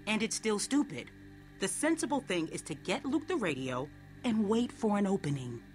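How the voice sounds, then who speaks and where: An actress playing a tough, sceptical, level-headed survivor in a dramatic video game, speaking bluntly and dryly. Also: female voice, medium pitch, sing-song, normal speed, darkish tone, faint music behind, close to an everyday voice. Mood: firm, dismissive. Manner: blunt, dry.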